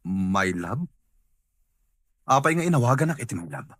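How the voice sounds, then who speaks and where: A man's voice: mid-range voice, very animated, moderate pace, a bit bright, breathy edge, no room sound. A man with an Ilocano accent playing a troubled, guilty married man in a radio drama. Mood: confused, startled.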